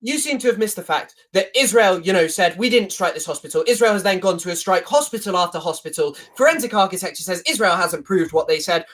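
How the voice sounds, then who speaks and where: Loud, animated man arguing. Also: sits high, fast talker, few pauses. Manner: interrupting, indignant, repeats "hold on". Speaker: debate guest. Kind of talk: heated online debate.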